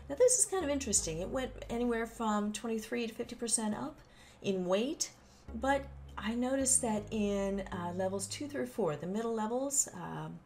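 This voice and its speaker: A woman's voice: on the high side, darkish tone, a little hiss or hum. A woman giving a casual, chatty explainer on a game update, with informal asides and folksy exclamations. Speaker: gaming channel host. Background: background music.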